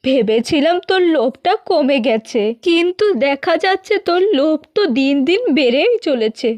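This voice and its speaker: A woman's voice: sits high, expressive, moderate pace, darkish tone, no room sound. A woman voicing a caring, admonishing middle-aged village mother in a cartoon. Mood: scolding. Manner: earnest, admonishing. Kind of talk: Bengali cartoon fairy-tale acting.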